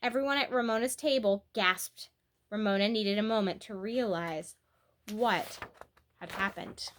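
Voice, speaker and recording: Bright-voiced woman reading a children's story. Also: mid-range voice, measured pace, slight background noise. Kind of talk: expressive read-aloud narration of a children's story.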